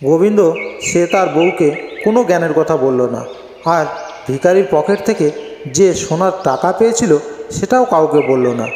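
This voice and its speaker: A man's voice: fairly high pitch, lively intonation, moderate pace, darkish tone, slight background noise. A man narrating a scripted cartoon folk story. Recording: echoey, long reverb. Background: faint music.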